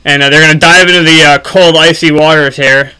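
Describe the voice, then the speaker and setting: Bright-voiced man doing halting game commentary. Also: fairly high pitch, steady tone, clean tone, rough recording. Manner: halting, frequent ums and mid-sentence pauses. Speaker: YouTube gaming commentator. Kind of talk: casual unscripted video commentary.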